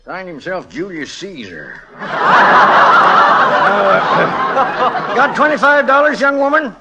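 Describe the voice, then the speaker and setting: An actor playing a folksy, greedy small-town judge in a 1940s radio comedy, with a rural American accent and a drawling, chuckling manner. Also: male voice, high-pitched, huge pitch swings, takes it slowly, lo-fi sound, very noisy, heavily acted. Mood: sly, chuckling. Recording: vintage 1940s radio broadcast.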